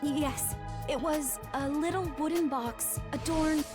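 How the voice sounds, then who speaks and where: High girly game character, hesitant, explaining, music clearly behind her. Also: slow.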